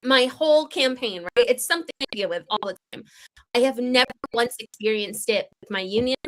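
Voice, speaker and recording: A woman's voice: on the high side, a little fast, a bit bright, poor audio. Young woman w a really young sounding voice, earnest, chatty, little laughs here n there. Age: young adult. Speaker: congressional candidate, guest. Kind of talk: livestream interview talk.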